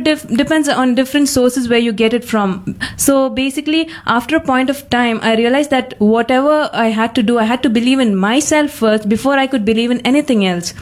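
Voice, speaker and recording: A twenty-year-old woman speaking conversationally in a personal discussion, often hesitating and rephrasing as she explains herself. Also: a little high.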